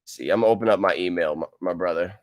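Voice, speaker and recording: Chill dude streaming from home, reading chat, laughs a lot, rambly. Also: male voice, low-pitched, very fast. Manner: laid-back, rambling, laughs often. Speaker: livestream host. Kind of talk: casual livestream chat, reading viewer comments. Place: wide room at home. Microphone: close desk mic.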